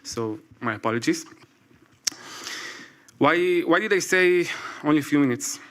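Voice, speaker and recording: A man in his forties with an Israeli accent, a native Hebrew speaker, reading a prepared talk from his phone to a live audience. He speaks carefully, with pauses and apologies. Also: on the high side, expressive, a bit bright. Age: 40s. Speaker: speaker at a public event. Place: event stage before a live audience.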